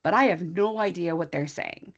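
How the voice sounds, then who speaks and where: A woman's voice: lowish voice, normal speed, highs cut off. An American woman, an English teacher, giving an informal online training talk with a chatty, thinking-aloud manner and asides to her listeners. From USA. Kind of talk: conversational online presentation.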